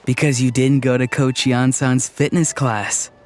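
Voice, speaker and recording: An actor playing a teasing, mischievous young man in a video game, delivering lines with deadpan, mock-grand seriousness. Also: mid-range voice, measured pace, natural voice. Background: faint game background music.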